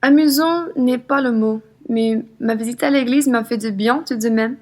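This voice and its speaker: A woman's voice: on the high side, moderate pace, darkish tone, natural voice. A young woman playing a sincere, simple-hearted traveller in a French radio play. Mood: soothed.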